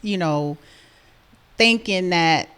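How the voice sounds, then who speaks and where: A woman's voice: on the low side, takes it slowly, a bit bright, clean tone. A woman co-hosting a podcast in a casual, easygoing way, answering her partner with frequent affirming murmurs. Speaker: podcast co-host. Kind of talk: casual chat.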